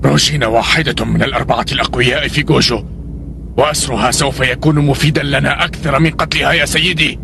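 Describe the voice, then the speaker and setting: A man's voice: medium pitch, steady tone, fast talker, creaky, background noise, close to an everyday voice. A man voicing a loyal, cautious officer who earnestly argues with his hot-headed commander in a dubbed cartoon. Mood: reasoning. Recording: background score under voice.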